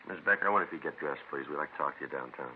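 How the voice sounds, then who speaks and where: Dogged police detective, 1950s radio drama. Very deep, deadpan, brisk, on a thin vintage recording. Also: male voice, narrow, tinny audio, a little hiss or hum. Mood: dry, official. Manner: clipped, matter-of-fact, deadpan. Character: calm, dogged male police detective and narrator.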